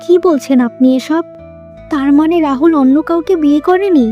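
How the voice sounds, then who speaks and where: Woman acting a high-voiced, emotional young cartoon wife. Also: moderate pace, lo-fi sound, faint music behind, lightly acted. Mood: relieved, apologetic. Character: emotional young modern wife, pleading and heartbroken. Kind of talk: Bengali moral-story cartoon dubbing.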